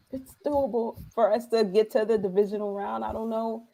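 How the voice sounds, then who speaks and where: A woman's voice: a little high, steady tone, normal speed, gravelly, dark tone. A woman co-hosting a casual sports talk show, chatty and analytic, often trailing off mid-thought.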